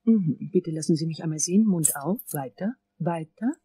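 An actress playing a cool, stern dentist who gives clipped, commanding instructions in a crime radio play. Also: female voice, sits low, moderate pace, dark tone, husky.

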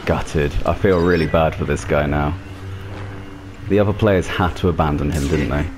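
A man's voice: extremely low pitch, fairly even intonation, moderate pace, over music. A man giving casual gameplay commentary as the creator of a gaming video. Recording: clear game noise behind the voice. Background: game ambience.

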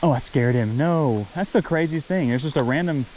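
A man hosting a fishing vlog outdoors by a waterfall creek, chatty and excited, laughing often as he talks from a head-mounted camera. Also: on the high side, moderate pace, slight background noise, poor audio. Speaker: fishing channel host. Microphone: head-mounted camera mic.

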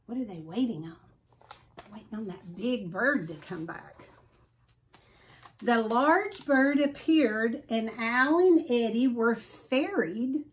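A middle-aged woman reading a children's story aloud with deliberate, expressive emphasis. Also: on the high side. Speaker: storybook reader. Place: small furnished room at home.

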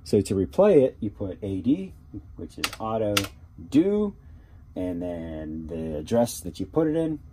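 A man giving a casual how-to demonstration as a hobbyist, talking haltingly and thinking aloud. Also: fairly low pitch, big pitch swings. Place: small cluttered workshop indoors. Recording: noticeable background noise with clicking keys.

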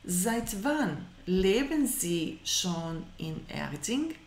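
A woman in her thirties teaching an online German lesson. She says German example sentences slowly and carefully and explains between them in quick asides, recorded on a webcam. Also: medium pitch, sing-song, reverberant.

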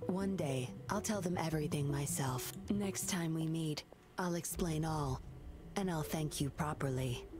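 An actress voicing a composed young woman investigator who speaks in a calm, measured, slightly teasing way in a game. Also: low voice, over music.

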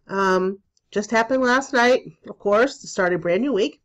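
A woman in her forties with a stuffy cold, hosting a casual spiritual podcast from a small room, chatty and full of ums and pauses. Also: medium pitch, lo-fi sound. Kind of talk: casual solo podcast talk.

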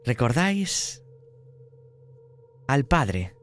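A man as game master, narrating a tabletop horror game in an unhurried, descriptive way. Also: medium pitch, sing-song, faint music behind.

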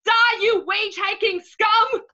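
A woman's voice: very high-pitched, steady tone, measured pace, sharp tone, exaggerated voice. A woman voicing a ranting, egomaniacal male fashion mogul villain in a theatrical manner over a video call. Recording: muffled lo-fi video call audio.

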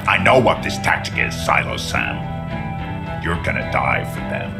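Low gravelly male, brutal drunk mercenary, slow bitter drawl, wildly swinging pitch, game villain. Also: sing-song, loud music behind the voice, full character voice. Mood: threatening. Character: brutal drunk mercenary, menacing, bitter, foul-mouthed man. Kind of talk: scripted video game villain acting.

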